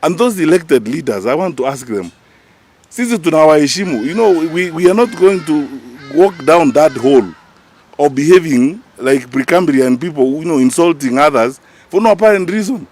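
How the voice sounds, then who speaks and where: A man's voice: a little high, lively intonation, moderate pace, darkish tone. Kenyan man, indignant political speaker. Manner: emphatic, indignant, rhetorical questioning. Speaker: political party official speaking to the press. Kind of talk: press conference statement, spoken off the cuff.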